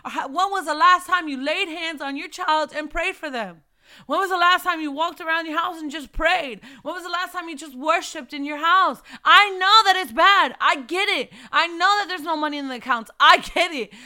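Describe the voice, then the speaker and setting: A young woman giving an informal preaching talk, urging other mothers with repeated rhetorical questions and fervent exhortations. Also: extremely high pitch. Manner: shifts between soft reflective testimony and loud urging.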